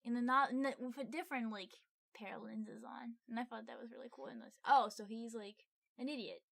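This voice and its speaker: A woman with a fairly high voice co-hosts a casual film podcast. She talks informally and agreeably, in a loose conversational style. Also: fairly even intonation, brisk, creaky, a bit bright, dry recording. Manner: informal, agreeable, frequent filler "like". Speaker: podcast co-host.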